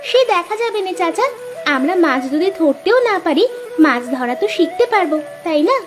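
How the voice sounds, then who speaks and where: A young village sister in a cartoon moral tale , voiced by a woman, talks in a very high, girlish pitch. She is earnest, chatty and determined, with prominent music underneath. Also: animated, normal speed.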